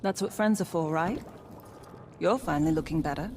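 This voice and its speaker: Woman playing a low-voiced, steady fugitive mage. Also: normal speed, a little hiss or hum, natural voice. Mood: grave, wry. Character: steady, wry female fugitive mage companion. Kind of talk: cinematic game acting. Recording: faint background ambience.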